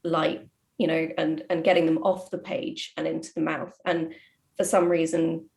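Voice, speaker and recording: A woman with a fairly low voice and noticeable creak at the edges. She speaks reflectively and casually, setting out thoughts in measured phrases with pauses. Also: level tone, a little mellow. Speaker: writer on a panel.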